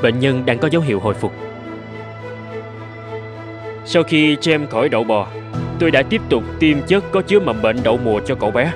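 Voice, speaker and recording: A man's voice: medium pitch, lively intonation, fast, close to an everyday voice. A man playing an earnest, caring country doctor in a cartoon dub, serious and concerned. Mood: earnest, explaining. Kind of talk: cartoon dub with first-person storytelling. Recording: music under the voice.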